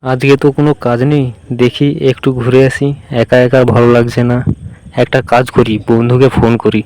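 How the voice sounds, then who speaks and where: A man's voice: mid-range voice, little pitch movement, normal speed, a little mellow, lightly acted, rough recording. A man voicing an idle, chatty young guy in a cartoon, talking casually as if with a friend. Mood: casual, bored. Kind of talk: cartoon dialogue, casual phone chat between friends.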